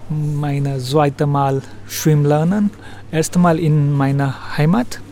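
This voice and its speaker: A woman in her thirties from India, speaking halting, broken German. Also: low-pitched, fairly even intonation, warm tone. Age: 30s.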